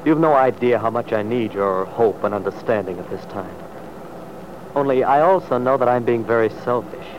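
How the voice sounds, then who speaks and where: An actor playing a troubled, guarded but tender young sergeant in an old-time radio drama, halting and earnest. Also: male voice, medium pitch, lightly acted. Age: young adult. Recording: muffled, noisy vintage radio recording.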